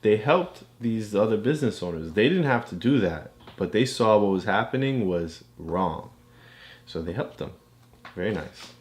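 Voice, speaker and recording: A man's voice: on the low side, animated, normal speed. A man chatting casually as he coaches a child, explaining things simply and encouragingly. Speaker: parent co-host coaching a child reader. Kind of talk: casual teaching chat with a child.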